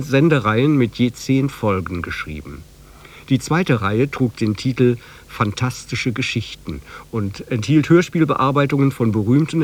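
Mid-pitched male radio presenter, scripted delivery. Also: a little mellow. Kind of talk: scripted informative introduction.

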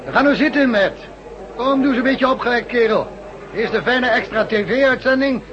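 A man's voice: extremely high pitch, moderate pace, dull audio, crowd noise. An actor in a radio drama playing a jovial, eager male gang boss, hearty and cajoling.

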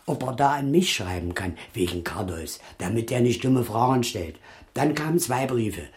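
A man's voice: medium pitch, expressive, moderate pace, darkish tone, lightly acted. An actor playing an evasive, frightened circus man making a defensive confession in radio drama style. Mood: confessing, subdued. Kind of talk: 1980s German radio drama acting.

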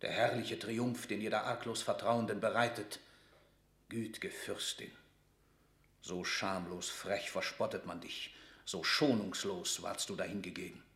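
A man's voice: fairly low pitch, steady tone, a little slow, fairly bright tone, echoey room. A man playing a cold, sarcastic statesman in a classical radio drama, with formal, commanding and cutting declamation. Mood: bitter sarcasm. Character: stern, cold, sarcastic male royal statesman.

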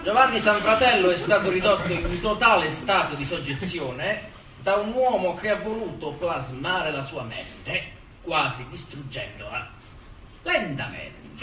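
Stage courtroom drama: pious, contemptuous prosecutor, forceful declamation with deliberate pauses, distant roomy recording. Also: male voice, sits high, exaggerated voice. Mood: scornful, insistent. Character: vehement moralizing male prosecutor, contemptuous and pious. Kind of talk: theatrical courtroom oration.

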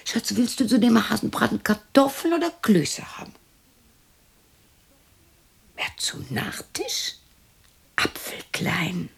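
A woman's voice: on the high side, very animated, slow pace, creaky, pauses often, husky, in a whisper, full character voice. An actress playing a lonely widow in her sixties who mutters to herself in a radio drama. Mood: doting. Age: 60s. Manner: muttering to herself, shifting between musing and scolding. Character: lonely sixty-year-old widow talking to herself and imagined family.

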